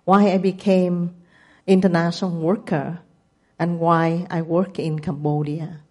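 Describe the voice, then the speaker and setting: A woman in her sixties with a Vietnamese accent, a missionary giving a testimony presentation with careful, measured non-native phrasing. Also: on the low side, little pitch movement, warm tone. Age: 60s.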